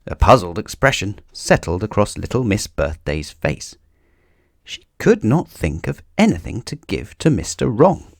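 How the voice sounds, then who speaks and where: A man reading a children's story aloud in an expressive narrating style. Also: fairly low pitch, a little slow, gravelly, dry recording, studio quality.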